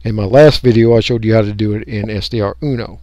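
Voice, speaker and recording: A man talking through a software tutorial step by step in an unscripted, folksy way. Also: fairly low pitch, fairly even intonation, quick pace. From United States. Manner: unscripted, folksy, explaining each click. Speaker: radio hobbyist giving a tutorial. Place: indoors, voice-over for a screen recording.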